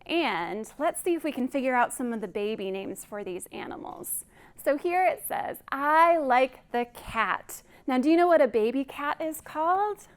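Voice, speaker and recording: A woman hosting a children's storytime, reading aloud in a deliberate, singsong way and putting questions to young listeners. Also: sits high, animated. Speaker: children's librarian, storytime host.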